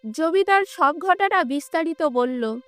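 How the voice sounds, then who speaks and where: A female storyteller narrates a cartoon tale from a script. Her voice is extremely high, with faint music playing behind it. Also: expressive, a little slow.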